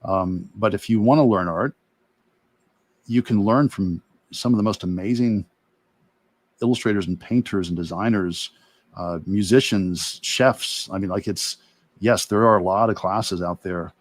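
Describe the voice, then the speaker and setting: Middle-aged guy with a lowish voice, earnest art teacher chatting casually, dry close sound. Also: unhurried, no echo. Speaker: artist and illustration teacher, guest. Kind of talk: casual livestream discussion.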